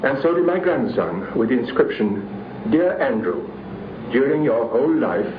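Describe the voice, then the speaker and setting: A man's voice: medium pitch, lively intonation, normal speed. An older man giving a prepared personal reminiscence. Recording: echoey, noisy, phone-like 1950s radio recording.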